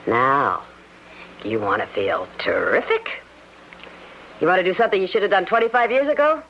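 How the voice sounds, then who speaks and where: An actress playing a sharp, scheming, domineering wife in a radio mystery drama. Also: female voice, fairly low pitch, lively intonation, moderate pace. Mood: impatient, scornful. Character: sharp, pushy, scheming wife covering up a secret. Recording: dull lo-fi radio recording with hiss.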